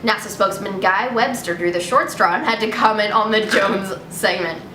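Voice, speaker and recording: A woman's voice: normal speed, far from the mic. A young woman hosting a casual show, reading news aloud with irreverent, sarcastic, sweary commentary. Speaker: host. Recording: echoey room with noticeable rumbling noise.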